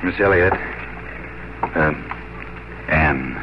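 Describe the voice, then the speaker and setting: An actor playing a gallant, formal naval captain, intense and romantic, in a 1950s radio drama. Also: male voice, very deep voice, very slow, noisy background, full character voice. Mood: hesitant admiration. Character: ardent, gallant English naval captain in love. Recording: dull old radio, music behind.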